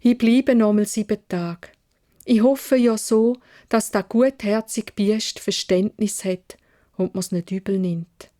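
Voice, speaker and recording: A woman's voice: medium pitch, takes it slowly, warm tone, natural voice. A woman voicing a kind, devoted young daughter in a Swiss German fairy tale, earnest and tender. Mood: hopeful, yielding.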